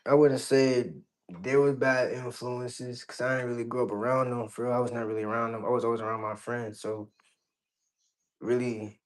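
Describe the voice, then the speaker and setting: A man of average pitch answers questions as a guest in a casual chat. He is from Georgia in the United States. His way of talking is blunt and heavily slangy, with frequent swearing. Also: fairly even intonation, moderate pace, low-quality recording. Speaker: interview guest.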